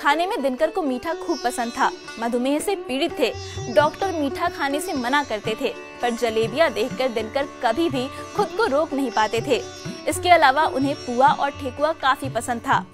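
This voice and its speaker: High-pitched woman narrator over music. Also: lively intonation, normal speed. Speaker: documentary narrator. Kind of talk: scripted narration. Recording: clear sitar music under narration.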